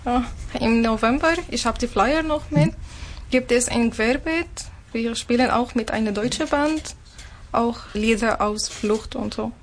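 A woman's voice: fairly high pitch, unhurried, slight background noise. A woman from Syria with an Arabic accent, answering as an interview guest in non-native phrasing. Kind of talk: personal talk in a radio interview.

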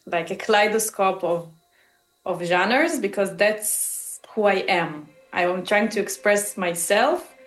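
Female musician interviewee, Israeli accent. Unhurried, thoughtful, halting delivery, slightly echoing room. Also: mid-range voice. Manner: halting, searching for words, pausing mid-phrase. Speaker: musician and composer interviewed about their work. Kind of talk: casual, reflective interview answers.

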